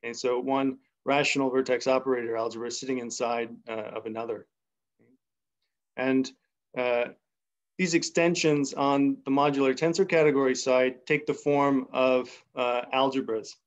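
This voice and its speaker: A young man giving an academic seminar talk over a video call, unhurried and thinking aloud with many pauses. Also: medium pitch, fairly even intonation. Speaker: seminar speaker. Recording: slightly muffled video call audio.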